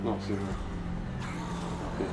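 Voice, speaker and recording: A man's voice: takes it slowly, very noisy. A man giving casual Let's Play commentary, coaching another player in crude, slangy talk. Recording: roomy echo, distant mic, music. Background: game music.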